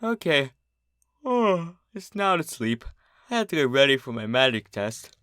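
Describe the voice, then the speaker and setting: A performer voicing a bookish young female pony, anxious and easily exasperated, in an expressive cartoon-style story reading. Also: medium pitch, a little slow, dry recording, clean studio sound. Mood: sleepy, muttering. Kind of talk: animated character acting in a fan fiction reading.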